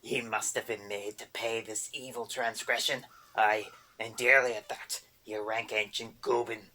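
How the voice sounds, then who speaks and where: A man's voice: fairly low pitch, little pitch movement, a little slow, pushed and bright. A man voicing a spiteful little male boggart that screeches and rants insults in dialect. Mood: ranting.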